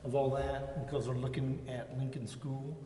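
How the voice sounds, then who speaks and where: A man's voice: mid-range voice, level tone, moderate pace, warm tone. Man chairing a meeting in an echoey room. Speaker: chair of city council meeting. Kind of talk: informal meeting moderation. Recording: echoey chamber with background noise.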